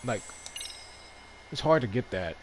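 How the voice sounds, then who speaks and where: A man streaming a video game and commenting casually as he plays. His voice is middle-pitched and highly animated, with an informal, reactive delivery. Game music is clearly audible behind him. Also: a little slow. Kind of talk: casual live gameplay commentary.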